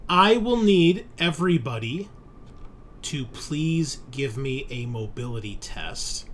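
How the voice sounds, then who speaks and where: A man runs an online tabletop roleplaying game as game master. His voice sits at a medium pitch and he explains things informally. There is faint noise behind him on the home recording. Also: animated, slow pace, fairly bright tone.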